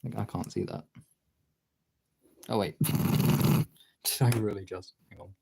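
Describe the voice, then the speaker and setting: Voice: sits low, steady tone, slow, gravelly, noisy background. A streamer chatting casually while demonstrating drawing, talking in an informal, sweary way. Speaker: art streamer.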